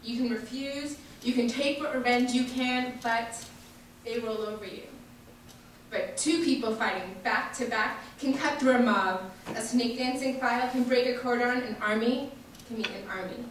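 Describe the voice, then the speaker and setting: Female, fairly high voice, poetry recitation, deliberate phrasing, very reverberant hall. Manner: measured, deliberate short phrases. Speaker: reader at a memorial staged reading. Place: large echoey indoor hall, distant microphone. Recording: echoey hall from far off, faint noise.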